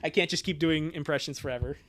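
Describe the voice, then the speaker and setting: A man's voice: a little high, quick pace, fairly bright tone. A man chatting casually on a livestream as an amateur impressionist, rambling and stammering with jokey asides. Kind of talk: casual livestream chat and reading aloud.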